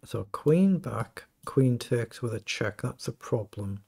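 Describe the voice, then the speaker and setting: A middle-aged man with a croaky voice, thinking aloud as he plays fast chess and comments on each move. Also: medium pitch, animated, dark tone. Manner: thinking aloud, muttering over moves. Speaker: chess streamer. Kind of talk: live game commentary.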